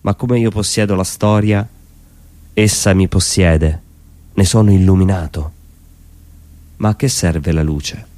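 A man's voice: low-pitched, mellow, slight background noise. Solemn man reciting poetry. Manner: solemn, declamatory, with deliberate pauses. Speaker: stage performer reciting poetry.

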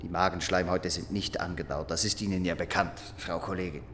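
A clinical, detached pathologist with a very deep voice. His formal radio-drama report rings with long reverb over some background noise. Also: fairly even intonation, fairly quick, a bit bright. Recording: reverberant, noisy hall, distant voice.

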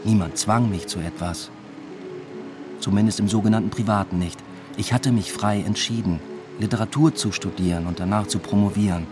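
A man's voice: lowish voice, normal speed, over music, close to an everyday voice. An actor playing a weary, introspective male academic, narrating a radio drama in a reflective, understated way. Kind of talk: radio drama first-person narration and dialogue.

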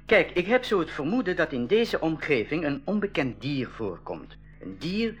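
An actor playing a friendly biologist who explains things patiently in a radio play for schools. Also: male voice, a little high, sing-song, normal speed, slightly rough, faint music behind. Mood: explaining. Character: William King, friendly adult male jungle biologist.

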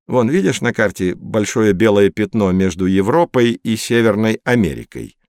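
An actor playing a learned older mentor who explains things to children in a scripted educational audio play. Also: male voice, lowish voice, moderate pace, no room sound, studio quality. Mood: instructive. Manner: didactic, expressive, storytelling.

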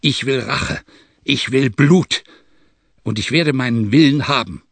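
A man reading a hot-tempered young warrior who speaks defiantly and forcefully. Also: medium pitch, unhurried, creaky, dry recording, pitched above the performer's own voice.

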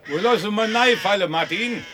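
Man playing a high-pitched blunt Bavarian-sounding woodsman. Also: normal speed, a crowd in the background, natural voice. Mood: blunt, unbothered. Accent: southern German. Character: blunt, unbothered rural male woodsman with dog. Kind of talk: radio play dialogue. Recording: very noisy outdoor scene, animal sounds.

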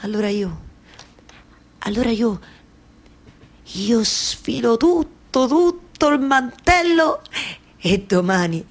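An actress playing an anguished, obsessive waiting wife in a theatrical monologue, with a Roman accent and repetitive phrases that halt and then rush. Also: female voice, on the high side, lively intonation, full character voice. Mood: desperate, faltering. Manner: repetitive, halting then rushing, colloquial dialect storytelling. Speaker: stage actress. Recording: live music behind the voice.

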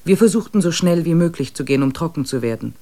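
A man in a mid-range voice reads out diary entries. His delivery is measured and descriptive, steady from line to line. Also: fairly high pitch. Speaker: diary reader.

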